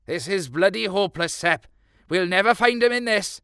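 A high-voiced, defeatist pub man, put on by a male narrator. He sounds weary and complains despairingly. The tone is clear and the storybook recording is very dry. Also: lively intonation, normal speed, bright, forceful tone, dry recording, heavily acted.

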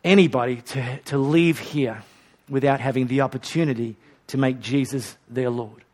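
A man's voice: medium pitch, steady tone, moderate pace, a little mellow. A male pastor preaching a sermon and leading an altar call in a church. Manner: earnest, leads a repeat-after-me prayer. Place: hot church auditorium.

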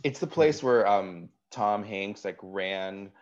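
A very low, deep-voiced man in his forties, originally from Wisconsin. He tells stories freely as a guest in a relaxed conversation, filling his speech with "like" and "um". His audio is slightly dull and muffled. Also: slow. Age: 40s. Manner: animated storyteller, frequent "like" and "um". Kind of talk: casual conversation.

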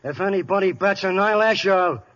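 A snarling gangster barks short threats in thick tough-guy slang. His voice sits high for a man, and a long echo trails behind it. The sound is the dull, narrow tone of an old 1930s radio broadcast. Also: normal speed, fairly bright tone. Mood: menacing. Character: tough male gangster holding people at gunpoint.

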